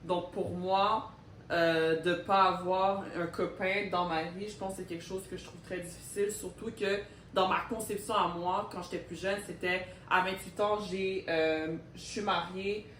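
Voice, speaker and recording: A woman's voice: fairly low pitch, fairly even intonation, moderate pace, fairly bright tone, far from the mic. A woman in her thirties with a Québécois accent, co-hosting a casual talk and speaking reflectively, with hesitations and English mixed in. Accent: Québécois French. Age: 30s. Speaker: co-host. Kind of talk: casual chat. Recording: echoey room with faint noise.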